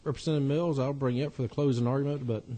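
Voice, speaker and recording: A man's voice: mid-range voice, steady tone, racing, warm tone, slight background noise. A man chairing a committee hearing, courteous and procedural.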